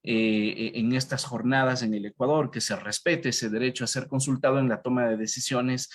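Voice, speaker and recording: A man's voice: mid-range voice, fairly even intonation, moderate pace. Wordy male host introducing a speaker. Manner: wordy, filler-heavy, drawn out. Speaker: event host.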